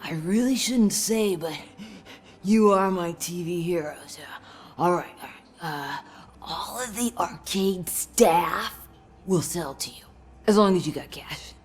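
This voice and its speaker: A woman's voice: on the low side, unhurried, lightly acted. An actress playing a giddy young woman in a video game, starstruck and gushing, who drops into whispered asides. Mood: conspiratorial.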